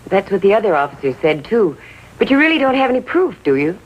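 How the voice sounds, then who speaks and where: A woman's voice: medium pitch, sing-song, fast talker, husky, rough recording. A woman playing a middle-aged American mother in a 1950s TV drama, genteel, earnest and insistently defensive. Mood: politely defensive. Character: defensive, trusting, genteel mother of a teenage boy.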